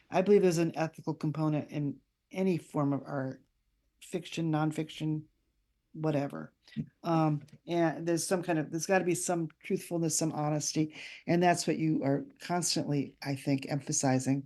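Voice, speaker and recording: A woman's voice: fairly low pitch, mellow. An older woman, a guest author and writing teacher, speaking conversationally in an interview over a video call.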